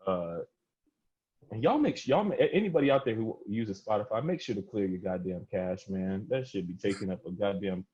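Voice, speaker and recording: A man's voice: on the low side, lively intonation, brisk, darkish tone, breathy edge, dull audio. A man with an American accent hosting a casual round-table music podcast, talking in profane slang. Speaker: podcast host.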